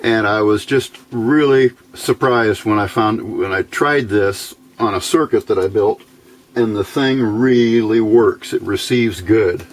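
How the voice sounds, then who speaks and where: Slow-talking, low-voiced man explaining electronics. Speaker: electronics hobbyist demonstrator. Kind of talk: informal hands-on technical explanation.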